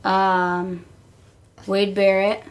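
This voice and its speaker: A woman's voice: medium pitch, flat delivery, extremely slow. A woman co-hosting a podcast in casual chat, making dry, wry remarks over audible background noise. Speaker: podcast co-host.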